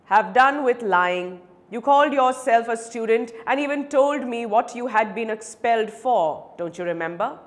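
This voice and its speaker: A woman voicing a stern, well-to-do male lawyer in a dramatic story reading, forceful and scolding. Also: fairly high pitch, expressive, normal speed, pitched above the performer's own voice, close to an everyday voice. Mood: angry, indignant.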